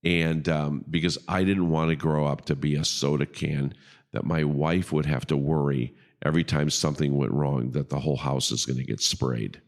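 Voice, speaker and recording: A man's voice: very deep voice, steady tone, normal speed, vocal fry. A middle-aged man with a big voice, a pastor hosting a casual podcast chat and talking emphatically, often checking in with "okay?".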